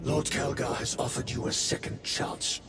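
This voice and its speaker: An actor playing a solemn elder of a warrior order in a video game, grave and ceremonial in manner. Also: male voice, medium pitch, steady tone, normal speed, close to an everyday voice. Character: solemn male space marine elder presiding over an oath. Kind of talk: cinematic video game dialogue. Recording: echoey chamber with music behind.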